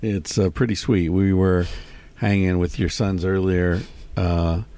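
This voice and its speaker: A man hosting a casual interview from the front of a parked van, relaxed and rambling with many restarts. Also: low voice, a little slow. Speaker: host. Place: front seat of a parked van, door open, rain.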